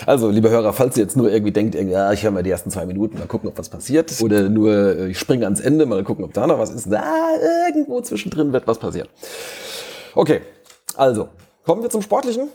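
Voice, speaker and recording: A man hosts a casual fan podcast over a remote call. He talks in a chatty, joking way and often restarts his sentences. His voice is mellow and somewhat husky. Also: mid-range voice. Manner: chatty, self-interrupting, joking. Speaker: podcast host.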